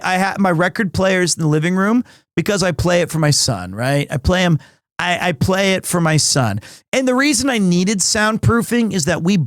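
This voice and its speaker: A streamer in his thirties with a big, loud voice that sits high for a man. He talks at an easy, drawn-out pace, restarting sentences as he chats with viewers from his home stream room, and the sound is dry and close. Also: dry recording. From United States. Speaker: livestreamer. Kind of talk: casual chat with stream viewers.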